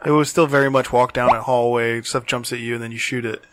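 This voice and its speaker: A man's voice: mid-range voice, fairly even intonation, fast talker, fairly bright tone, no echo. A man co-hosting a podcast, chatting casually and debating in a blunt, opinionated way with plenty of swearing. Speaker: podcast co-host. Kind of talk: casual chat debating movies and games.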